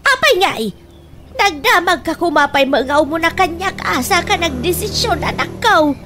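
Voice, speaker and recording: Loud, very shrill scolding mother acted by a woman. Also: lively intonation, moderate pace, music in the background. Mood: angry, scolding. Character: scolding, forceful mother of a grown daughter. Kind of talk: Ilocano comedy radio drama acting.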